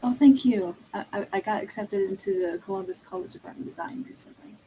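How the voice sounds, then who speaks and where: A woman's voice: mid-range voice, lively intonation, fairly quick, mellow, a little hiss or hum, poor audio. A young woman chatting casually on a livestream in a hesitant, rambling way. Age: young adult.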